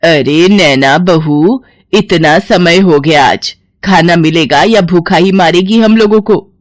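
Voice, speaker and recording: A woman voicing a scornful, bullying old Indian mother-in-law in a cartoon melodrama, scolding with drawn-out, dramatic calls. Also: fairly low pitch. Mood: angry, disgusted. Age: older.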